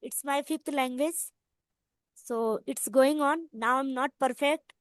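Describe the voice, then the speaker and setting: A woman with an Indian accent, a native Hindi speaker, hosting a casual English practice chat in halting learner English full of fillers and restarts. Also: sits high, no echo.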